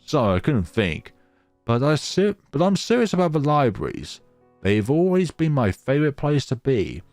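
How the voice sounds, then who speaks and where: Man playing an awkward, animated young traveler. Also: medium pitch, moderate pace, no room sound, faint music behind, voice pushed up, close to an everyday voice. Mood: eager, awkward. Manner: self-deprecating, chatty. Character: earnest, awkward, teasing young traveler, game protagonist.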